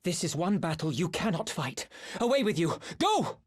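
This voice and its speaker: A man's voice: high voice, big pitch swings, normal speed, breathy edge, heavily acted. A man playing a proud, wry scholarly mage in a video game cutscene, speaking in formal, archaic phrases. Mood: urgent, commanding.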